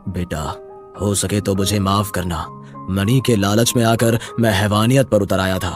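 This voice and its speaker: A man's voice: on the low side, fairly even intonation, normal speed, faint music behind, close to an everyday voice. Man playing a commanding snake-catcher holy man. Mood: repentant. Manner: commanding, theatrical.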